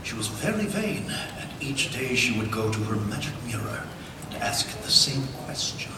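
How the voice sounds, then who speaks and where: A man's voice: mid-range voice, big pitch swings, normal speed. A man doing a playful, theatrical live storybook narration, recorded from far off in a big hall. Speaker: voice actor reading as narrator at a panel. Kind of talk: live cold read of a storybook script. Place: large indoor convention panel hall. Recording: echoey convention hall from far off, noisy crowd. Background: audience and room noise.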